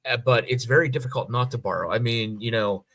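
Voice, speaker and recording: A man, middle-aged, in a casual podcast discussion, reasoning aloud in a halting way and rephrasing as he goes. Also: mid-range voice, fairly bright tone, no room sound. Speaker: podcast co-host.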